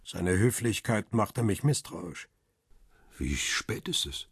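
A wary, world-weary photographer in a radio crime drama. His voice is low and heavily husky, recorded close and dry. He speaks in terse, reflective phrases as he tells his own story. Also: expressive, takes it slowly, no room sound, exaggerated voice. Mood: uneasy. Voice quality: husky, rough. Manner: reflective, terse first-person narration.

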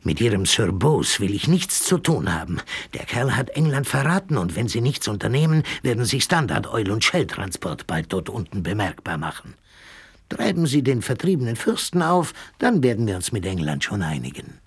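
Radio play role: fat scheming Russian correspondent, low and raspy, evasive, dismissive. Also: male voice, big pitch swings, normal speed, no echo, heavily acted. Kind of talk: German radio drama acting.

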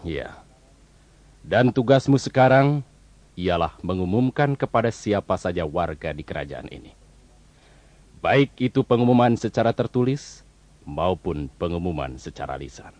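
Deep-voiced man acting a stern, commanding king. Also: expressive, a little mellow, dry recording. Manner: imperious, deliberate, emphatic. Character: stern commanding king giving royal orders. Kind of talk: audio fairy tale acting.

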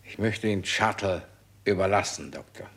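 A menacing movie boss talks in a low male voice. He is smooth and nonchalant, drawling his mock courtesies in the style of a sixties German radio thriller. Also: moderate pace, fairly bright tone, exaggerated voice. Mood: mockingly polite. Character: smooth-talking, menacing Hollywood studio boss under suspicion. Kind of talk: 1960s German radio crime drama acting.

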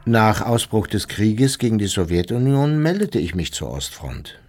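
An actor playing an ageing, unrepentant former SS officer in a radio documentary, husky, blunt and self-justifying as he recalls the war. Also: fairly low pitch, very animated, normal speed, darkish tone. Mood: matter-of-fact. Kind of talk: dramatised memoir monologue in a radio documentary.

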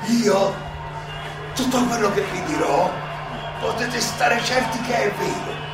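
Old greek philosopher defending himself onstage, ironic n indignant, keeps repeating himself, far off in big echo, music behind. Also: male voice, high voice, creaky, crowd noise, heavily acted. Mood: insistent, mocking. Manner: halting, rhetorical, repeats phrases, interjects questions. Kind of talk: theatrical stage monologue. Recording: very echoey, distant miking, music behind.

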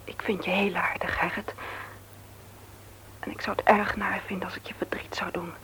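An actress playing a gentle, tactful woman who is reluctant in love, in a radio drama. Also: medium pitch, little pitch movement, moderate pace, whispered, close to an everyday voice, low-quality recording. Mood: careful, regretful. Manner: hesitant, tactful, careful phrasing. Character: gentle, reluctant woman turning down her suitor. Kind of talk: intimate radio drama dialogue.

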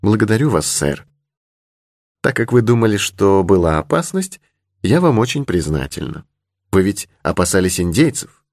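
A man's voice: fairly low pitch, big pitch swings, normal speed, a little mellow, no room sound, clean studio sound. A man voicing a brave, candid young creole lady in an adventure audiobook. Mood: polite, curious. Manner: candid, charmingly simple, assured. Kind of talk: audiobook character in adventure novel.

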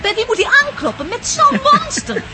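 An anxious, argumentative woman in a radio play. Her voice is high and swoops expressively, pressing each point emphatically. The recording has clear background noise. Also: big pitch swings, normal speed. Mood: exasperated. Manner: exasperated, emphatic, repeats herself. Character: anxious, exasperated woman, quick to argue and speculate. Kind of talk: radio drama acting.

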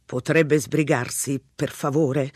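A reader voicing a flustered, anxious man who pleads politely, in a husky voice. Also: medium pitch, fairly even intonation, takes it slowly, no room sound, studio quality. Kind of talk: audiobook narration.

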